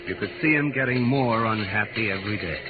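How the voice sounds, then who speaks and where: An actor playing a loyal, plain-spoken associate who narrates a 1950s radio drama in a colloquial, direct way. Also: male voice, lowish voice, a little fast, lightly acted. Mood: wry narrating. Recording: muffled vintage radio with music.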